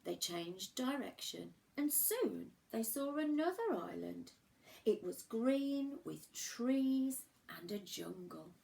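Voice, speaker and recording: A woman reads a picture book to young children in a slow, creaky voice of middling pitch. She narrates like a primary school teacher, leaning on key words and pausing for drama. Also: sing-song, darkish tone, a little hiss or hum, low-quality recording. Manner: measured, dramatic emphasis and pauses for young listeners. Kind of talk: expressive children's storybook narration.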